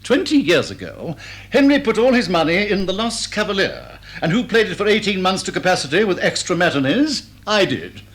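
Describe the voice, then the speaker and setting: A vain middle-aged English matinee idol in a radio comedy. His voice is high for a man and swoops freely as he declaims, indignant and self-dramatising, putting questions and answering them himself. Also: very animated, fairly quick, a bit bright, full character voice. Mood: grandly aggrieved. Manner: grandly declamatory, asks and answers own questions. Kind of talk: stage drawing-room comedy in radio drama.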